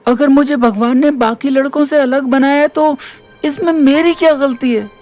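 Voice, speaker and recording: A distressed college-age youth, voiced by a woman in an audio drama. The voice is high and hurt, with faint music behind it. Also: steady tone, normal speed, darkish tone, natural voice. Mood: despairing. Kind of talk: Hindi audio drama acting.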